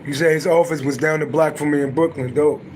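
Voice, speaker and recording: A middle-aged man talks loosely on his livestream, his raspy voice sitting fairly high and sounding a little worn from singing. He swears casually and answers his viewers as he goes. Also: steady tone, fast talker, slight background noise. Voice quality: deep voice. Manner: loose, profane, chatty, answers viewers' comments. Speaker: livestream host and astrology reader.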